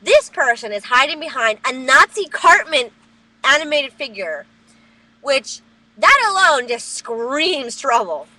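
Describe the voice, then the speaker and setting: Loud, high-pitched woman ranting. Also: sing-song, a little slow, dry recording, rough recording. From New Jersey. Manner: loud, profane, heated ranting. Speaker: vlogger. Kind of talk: webcam rant. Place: at work. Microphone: webcam.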